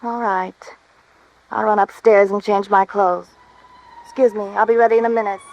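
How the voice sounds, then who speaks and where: An American actress playing a self-pitying, scheming wife in a 1950s radio drama. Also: female voice, mid-range voice, steady tone, normal speed, a little mellow, lightly acted. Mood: composed. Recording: dull, dry old radio recording.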